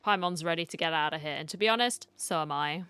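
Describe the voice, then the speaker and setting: A woman's voice: deep voice, fast talker, pushed and bright, clear, resonant tone, no echo. A woman streamer giving casual, chatty reaction commentary on a game story, with wry asides.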